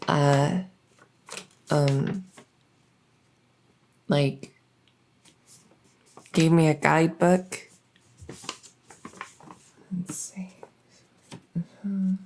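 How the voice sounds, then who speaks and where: A woman's voice: low voice, darkish tone, a little hiss or hum, heavily acted, poor audio. A woman playing a shy, socially awkward vampire in an ASMR roleplay, speaking hesitantly with anxious stammers.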